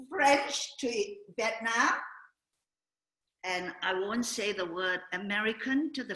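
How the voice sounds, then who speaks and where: A high-voiced older woman cooks live on a video call and jokes her way through it at an unhurried pace. Her voice echoes around the room, and noise runs underneath it the whole time. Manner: cheeky, joking, playful. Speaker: guest cook. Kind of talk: live cooking demo over video call.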